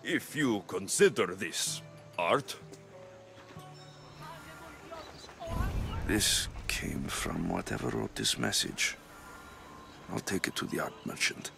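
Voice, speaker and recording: Blunt, low-voiced Greek warrior. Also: male voice, expressive, measured pace, vocal fry, music in the background, full character voice. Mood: dry, pensive. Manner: blunt, terse. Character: brusque, combative ancient Greek mercenary warrior, male. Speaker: video game protagonist. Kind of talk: scripted game dialogue.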